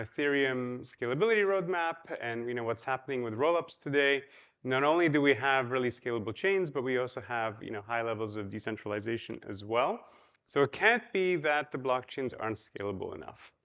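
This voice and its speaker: A man's voice: medium pitch, a bit bright, smooth, muffled recording. A man from Poland giving a casual, chatty conference talk, with many ums and you-knows, and questions put to the audience. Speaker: conference speaker. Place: large hotel ballroom.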